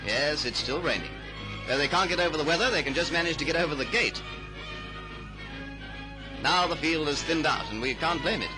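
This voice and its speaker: A man's voice: a little high, lively intonation, normal speed, pauses often, low-quality recording. A man narrating a 1930s newsreel in a grand, declamatory, patriotic manner. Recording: old newsreel soundtrack under loud music. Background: loud music.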